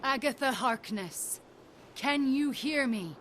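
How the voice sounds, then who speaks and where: An actress playing a solemn, ceremonious spellcaster in a video game, with formal, incantatory delivery. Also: female voice, high-pitched, expressive, slow pace, fairly bright tone, slight background noise, exaggerated voice.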